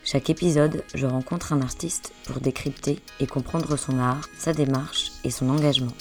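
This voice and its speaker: Low-voiced woman reading a podcast intro. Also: moderate pace, soft background music. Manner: poised, even read-aloud delivery. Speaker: podcast host. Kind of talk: scripted podcast narration.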